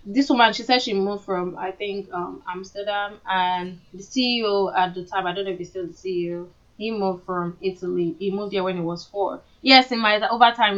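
A woman's voice: mid-range voice, a bit bright, highs cut off. A woman with a Nigerian accent co-hosting a podcast, talking casually and earnestly as she shares her opinions. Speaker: podcast co-host. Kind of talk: casual conversational discussion.

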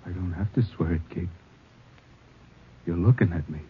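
An actor playing a gangster's sullen younger brother in a 1950s radio drama, quiet, terse and reluctant. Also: male voice, deep voice, moderate pace, natural voice. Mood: tense, resigned. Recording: very muffled, noisy old radio recording.

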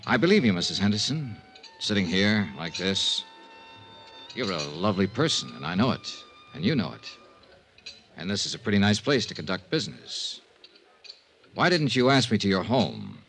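Shrewd 1950s radio detective, very animated. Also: male voice, on the low side, moderate pace, pauses often, soft background music. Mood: wry, smooth. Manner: probing, wry, insistent. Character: shrewd, persistent male insurance investigator. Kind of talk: 1950s radio drama acting and narration. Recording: noisy 1950s radio drama recording.

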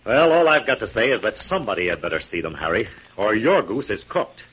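An actor playing a confident, skeptical, protective detective in 1940s radio style, brisk and authoritative. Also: male voice, fairly low pitch, big pitch swings, husky. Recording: muffled, noisy 1940s radio recording.

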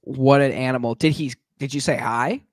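A man's voice: mid-range voice, fairly even intonation, moderate pace, dry recording. A man co-hosting a podcast with a nasal, congested voice from a cold, bantering in a dry, teasing way. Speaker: podcast co-host. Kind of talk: casual chat.

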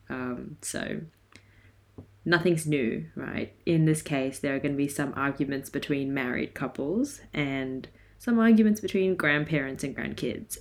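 A woman in her twenties with a hoarse, raspy voice, chatting casually and laughing often as she hosts her podcast. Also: sits low. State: hoarse from a night of singing. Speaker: podcast host. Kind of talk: casual solo podcast chat.

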